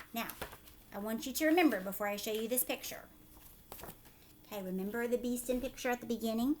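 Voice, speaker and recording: A woman teacher reading a picture book aloud to children, pausing to explain it in simple, instructive asides and check-in questions. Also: a little high, slight background noise.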